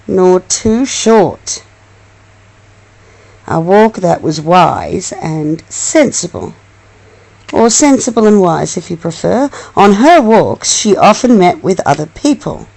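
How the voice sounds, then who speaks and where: A woman reads a children's bedtime story at an unhurried pace, her pitch moving up and down freely. She is expressive and affectionate, slipping between narration and gentle, coaxing asides to her child. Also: gravelly.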